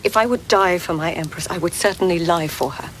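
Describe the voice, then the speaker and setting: A woman's voice: lowish voice, fairly quick, a bit bright, slight background noise, natural voice, low-quality recording. An actress playing a clever, devoted and proud court lady in a radio drama, speaking earnestly. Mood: defensive.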